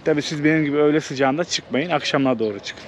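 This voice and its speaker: A man's voice: fairly high pitch, fast talker. A man hosting a casual, unscripted travel vlog, thinking aloud in an informal, conversational way. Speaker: travel vlogger and host. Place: outdoors, walking through an old walled town. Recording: outdoor city ambience, background noise.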